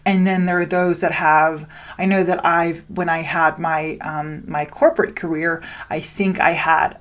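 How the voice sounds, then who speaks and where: Slow, lower-pitched woman podcaster from Denmark. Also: steady tone. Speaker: podcast host and spiritual business coach. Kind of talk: solo podcast talk addressed to listeners.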